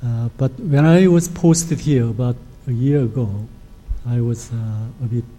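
A middle-aged man from Korea with a Korean accent, an ambassador giving a formal lecture in a halting, soft-spoken way. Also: medium pitch, slight background noise, rough recording. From South Korea. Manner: halting, with frequent hesitations.

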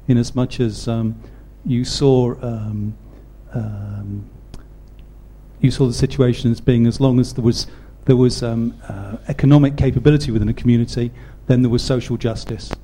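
A man's voice: medium pitch, fairly even intonation, moderate pace, warm tone, a little hiss or hum. A man in the audience asking a long question hesitantly, with many ums. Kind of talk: question from the floor.

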